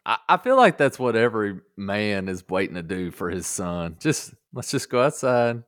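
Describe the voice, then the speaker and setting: Folksy man, low voice. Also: very animated, moderate pace, a bit bright, dry recording, studio quality. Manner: folksy, joking. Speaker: podcast host. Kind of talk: casual chat.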